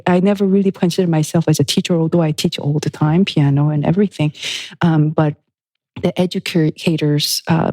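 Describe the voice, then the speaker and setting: A woman, a guest pianist and author, talking in a thoughtful, unhurried way in a studio interview. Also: on the low side, no echo, poor audio. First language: Korean. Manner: reflective, unhurried, with soft laughs and restarts.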